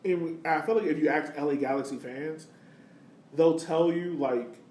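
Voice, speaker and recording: Roomy, higher-pitched American man chatting sports. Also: normal speed, a little mellow. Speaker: sports podcast co-host. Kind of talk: casual soccer discussion. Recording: roomy, distant mic, faint noise.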